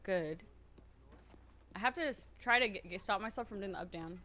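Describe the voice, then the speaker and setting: A woman streamer chatting loudly and nervously to her viewers, thinking out loud and swearing freely. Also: medium pitch, normal speed. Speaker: streamer playing a roleplay character. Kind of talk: casual chat to stream viewers.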